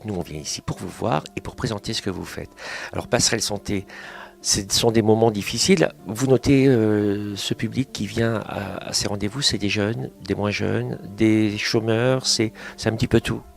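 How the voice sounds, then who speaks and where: A man's voice: lowish voice, creaky. A man hosting a conversational radio interview, summing things up in short phrases, recorded in a small kitchen. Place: small kitchen of a community family centre.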